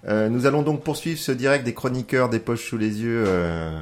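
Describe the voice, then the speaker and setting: A man's voice: medium pitch, normal speed. A man hosting a live community radio show from the studio, talking with many hesitations and a wry tone. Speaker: radio host.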